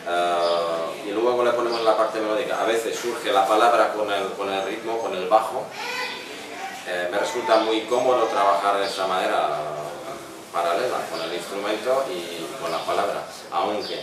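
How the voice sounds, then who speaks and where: A low-pitched man, not a native Spanish speaker, presents his poetry informally. He pauses and searches for words as he reflects. The recording is distant and very reverberant, with clear background noise. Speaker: poet and musician presenting his book. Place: echoey café event room. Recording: noisy, very echoey room, distant microphone.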